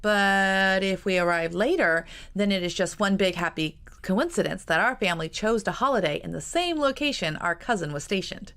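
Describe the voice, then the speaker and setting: A woman's voice: medium pitch, animated, moderate pace, hardly any pauses, fairly bright tone. A woman doing a sly, coaxing teenage girl. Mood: pleading. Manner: coaxing, sweetly insistent. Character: eager sixteen-year-old girl, sly and pleading.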